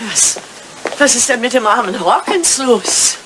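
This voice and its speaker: A woman's voice: fairly high pitch, lively intonation, a little slow. An older woman acting in a radio play as a resolute, candid lady turning down an aging suitor. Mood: exasperated, candid. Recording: hissy, noisy old radio play recording.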